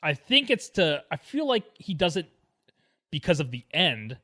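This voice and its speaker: Bright, punchy-voiced man chatting. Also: a little high, very animated, moderate pace. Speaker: film podcast co-host. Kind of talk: casual film discussion.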